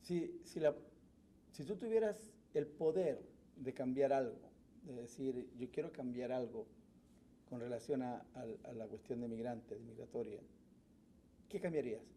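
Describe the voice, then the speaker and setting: A man's voice: mid-range voice, measured pace, warm tone, a little hiss or hum. A man hosting an interview, asking questions in a hesitant way with many fillers. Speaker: interview host.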